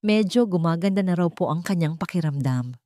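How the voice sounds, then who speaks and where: Woman as shy young housemaid, nervous, respectful, romance audio story, expressive intonation. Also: lowish voice, brisk, dry recording, clean studio sound, lightly acted.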